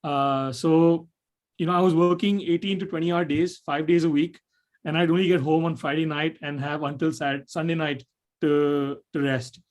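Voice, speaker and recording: Young man, from Nepal, student panelist on a video call, rambling, slightly high voice. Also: steady tone, normal speed. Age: young adult. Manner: rambling, lots of fillers and restarts. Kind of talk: webinar answer, casual.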